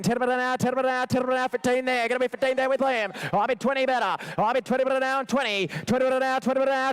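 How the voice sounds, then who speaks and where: A man with a very high, ringing, clean voice works as an auctioneer. He rattles through bids in a rhythmic chant, repeating figures insistently to keep the sale moving. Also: clean tone. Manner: repetitive, insistent bid-calling. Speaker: livestock auctioneer. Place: sales arena.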